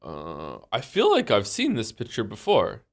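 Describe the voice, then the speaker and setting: A man's voice: fairly low pitch, huge pitch swings, unhurried. Congested man rambling on a stream. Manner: rambling, full of fillers and restarts. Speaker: game streamer. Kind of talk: casual live-stream chat.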